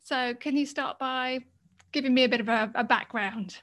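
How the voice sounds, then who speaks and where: A woman hosting her podcast, reading a measured scripted introduction and then asking her guest questions. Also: sits high, a bit bright. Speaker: podcast host.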